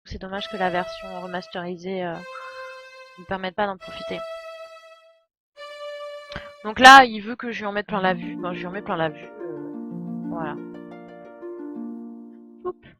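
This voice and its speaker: A woman's voice: fairly low pitch, unhurried, long pauses. A woman with a French accent chatting casually over a game stream, exasperated and self-deprecating. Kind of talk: casual gaming stream commentary. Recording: muffled stream audio, game music behind.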